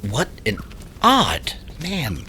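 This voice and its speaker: A man's voice: medium pitch, wildly swooping pitch, extremely slow, pushed and bright, a little hiss or hum, full character voice. A man playing an easygoing, cheerful hiker in a fiction podcast, speaking conversationally. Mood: light. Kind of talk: audio fiction podcast acting.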